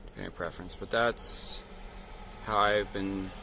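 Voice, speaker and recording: A man's voice: low voice, fairly even intonation, moderate pace, creaky, sharp tone, slight background noise. A man explaining a hands-on installation in a casual, plain-spoken, informal way, like a DIY installer. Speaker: DIY car stereo installer.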